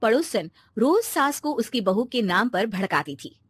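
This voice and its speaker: A woman with a high voice narrates a scripted cartoon story. The sound is dry, with no room around her. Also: very animated, a little slow, husky, no room sound.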